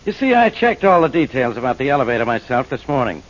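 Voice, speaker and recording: A man's voice: medium pitch, sing-song, quick pace, natural voice. An actor playing an impatient, sarcastic, dismissive male police inspector in a 1940s radio drama. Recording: noisy, dull 1940s radio recording.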